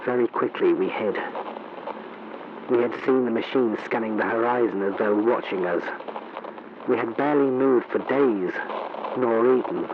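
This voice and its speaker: A man's voice: mid-range voice, lightly acted. An actor playing a grave, shaken male war reporter giving a solemn, formal eyewitness account in a 1930s radio broadcast style. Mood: awed, haunted. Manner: solemn, deliberate, formal narration. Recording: noisy, muffled vintage radio recording.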